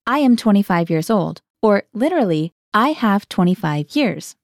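A woman's voice: mid-range voice, very animated, normal speed, dry recording, studio quality. A woman hosting a scripted language lesson, guiding the learner and translating each phrase into English.

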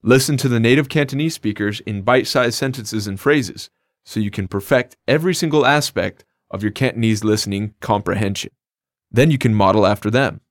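A man's voice: on the low side, fairly quick, no echo. A man co-hosting a scripted language lesson, explaining phrases in an upbeat, plain way. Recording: dry studio recording.

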